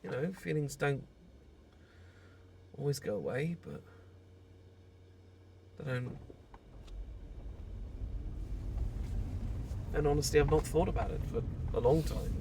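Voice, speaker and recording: A man's voice: a little high, lively intonation, moderate pace, creaky, long pauses, dark tone, a little hiss or hum. A British man acting a heartbroken, newly separated friend in an intimate audio roleplay, stammering and self-deprecating as he shyly confesses an old love. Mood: subdued, stunned. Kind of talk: intimate audio roleplay, naturalistic monologue to the listener.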